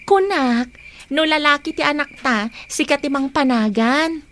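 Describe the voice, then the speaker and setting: A woman's voice: sits high, unhurried, fairly bright tone, a little hiss or hum. A woman with a Filipino accent playing a caring but anxious, suspicious young pregnant wife in a radio drama, with a plaintive, questioning way of talking. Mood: gentle. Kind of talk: Ilocano radio drama acting. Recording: music behind the dialogue.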